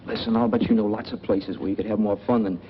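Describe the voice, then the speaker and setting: An actor playing a guarded, coaxing drifter in 1940s film noir style, talking casually and tersely. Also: male voice, lowish voice, steady tone, fast, lightly acted. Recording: dull, noisy old film soundtrack.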